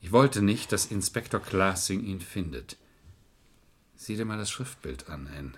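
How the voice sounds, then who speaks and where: An actor playing a shrewd, domineering lawyer in a crime radio play, probing and sardonic. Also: male voice, low voice, animated, a little slow, a bit bright, breathy edge, exaggerated voice. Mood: cool, pointed. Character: shrewd, domineering defence lawyer, scornful and commanding. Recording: old radio drama recording.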